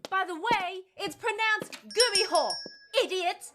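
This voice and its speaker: A very high-pitched young heroine of an audio drama, acted by a woman. She is half human, half fox spirit, a spirited job seeker who is quick to argue and stands up for herself. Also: background noise. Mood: indignant. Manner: defiant, indignant, argumentative.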